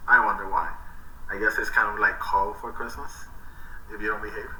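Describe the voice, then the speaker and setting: A man's voice: mid-range voice, pushed and bright. A man speaking as a teacher, giving an informal lesson to children in a roomy, distant recording. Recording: roomy, distant mic with faint noise.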